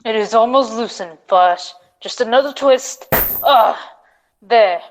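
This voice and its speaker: A woman's voice: on the high side, slow pace, a little hiss or hum, low-quality recording. A young woman playing a bearded lion-man prince in an old-time radio serial, speaking in formal, archaic phrasing. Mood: earnest. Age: teens to young adult. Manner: archaic, formal thee-and-thou speech. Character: powerful, huge-bearded male prince of the Lion Men.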